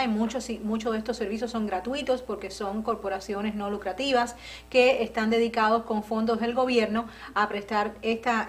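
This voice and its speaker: A middle-aged woman hosting a TV studio interview, welcoming her guest and asking prompting questions on a lapel mic. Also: on the high side. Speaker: TV host. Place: TV studio set.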